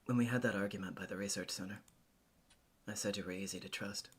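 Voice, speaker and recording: Man voicing a low, husky, guarded young woman. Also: fairly even intonation, quick pace. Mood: quiet, guarded. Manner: terse, reserved, dry. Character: guarded, curt young woman, cool and somber. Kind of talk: acted visual novel readthrough.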